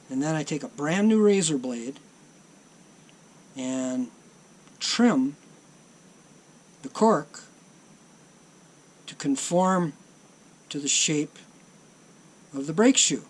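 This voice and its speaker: A middle-aged man giving a slow, plainspoken workshop demonstration of a repair, often pausing on ums and uhs. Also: fairly high pitch. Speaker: tape deck repair technician.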